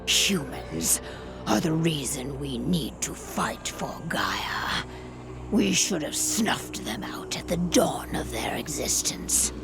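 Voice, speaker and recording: A woman playing a grave, proud, wary pack leader in a video game, with a breathy, gravelly voice and solemn delivery. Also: sits low, expressive, music in the background, heavily acted. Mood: hostile, proud. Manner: deliberate, halting, solemn. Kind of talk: video game cutscene acting.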